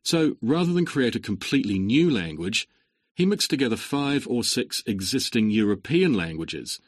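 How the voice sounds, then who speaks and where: A man giving a lecture for a listening exam, with clear, measured delivery that lifts on linking words. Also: mid-range voice, big pitch swings, dry recording, clean studio sound. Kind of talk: scripted exam-recording lecture.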